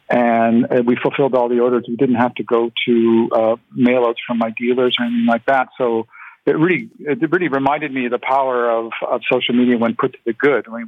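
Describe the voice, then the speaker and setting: A man's voice: mid-range voice, no room sound. Hesitant man on a tinny phone line. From Canada. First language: Ukrainian. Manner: hesitant, many restarts and fillers. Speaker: guest artist. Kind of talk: interview answers.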